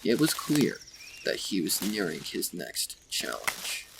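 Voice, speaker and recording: Low-voiced man narrating a story. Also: wildly swooping pitch, takes it slowly, creaky. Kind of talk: scripted fan fiction story narration. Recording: faint background noise with birdsong.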